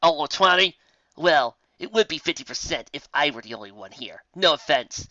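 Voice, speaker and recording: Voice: medium pitch, expressive, moderate pace, sharp tone, no room sound, lo-fi sound. A reader voicing an elegant, vain female pony with a wry, affected manner for a cartoon-based story.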